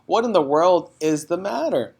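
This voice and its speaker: A kindly woman teacher from a storybook voiced by a man. She talks in a calm, measured way, gentle yet matter-of-fact. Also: high voice, creaky, slightly rough, voice pushed up, exaggerated voice. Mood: concerned.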